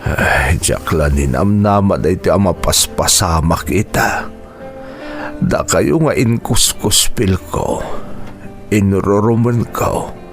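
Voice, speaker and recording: Sick old filipino uncle in a radio drama, really deep n slow, sorry and humble, filipino accent. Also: male voice, faint music behind. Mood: ashamed, grateful. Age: older. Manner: halting, sighing, self-reproachful. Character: ailing, remorseful old uncle, humbled and grateful.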